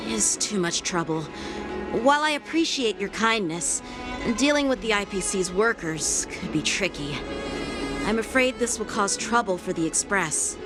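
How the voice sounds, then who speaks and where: A woman's voice: medium pitch, expressive, moderate pace, close to an everyday voice. A woman voicing an earnest, polite and modest young boy in a video game, with dutiful, formal phrasing. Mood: politely hesitant. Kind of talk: English video game voice acting. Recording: loud background music under the dialogue. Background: game music.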